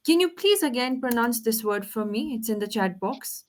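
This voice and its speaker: A woman's voice: on the high side, lively intonation, normal speed. A woman tutoring English on a video call, patiently correcting pronunciation and asking the learner to repeat words. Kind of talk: online one-on-one English lesson.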